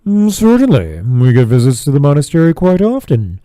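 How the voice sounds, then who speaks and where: A man voicing an affable, slightly slow-witted monk in an audio drama, with a genial, rambling, formal manner. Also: on the high side, huge pitch swings, warm tone, no echo, clean studio sound. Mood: genial, oblivious.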